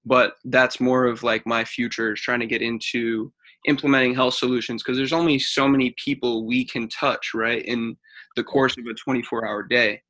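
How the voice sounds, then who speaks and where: Flat-voiced bright man in his twenties. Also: mid-range voice, normal speed, poor audio. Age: mid 20s. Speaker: athletic trainer. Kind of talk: casual podcast chat.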